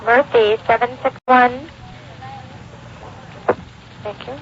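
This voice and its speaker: Through clear hiss on a dull vintage radio recording comes a female switchboard operator. Her voice is fairly high. She relays calls in clipped, detached, procedural phrases. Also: fairly even intonation, slow pace. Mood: routine, detached. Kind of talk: 1940s radio drama acting. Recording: muffled, very noisy old radio recording.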